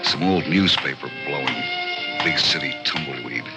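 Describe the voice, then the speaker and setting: Casting: noir storyteller, vintage radio; extremely low voice, terse, grim, loud music underneath. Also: male voice, lively intonation, normal speed. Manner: terse, dramatic. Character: hard-boiled male crime story narrator, grimly cool. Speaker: narrator. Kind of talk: scripted noir narration, 1940s radio.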